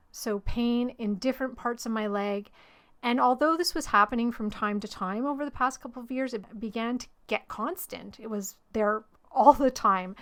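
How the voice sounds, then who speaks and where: A middle-aged woman hosting her own podcast, slightly hoarse from losing her voice, talking openly and personally about her own story. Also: medium pitch, a bit bright. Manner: open, personal storytelling with light laughs. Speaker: podcast host and life coach. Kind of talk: solo podcast monologue.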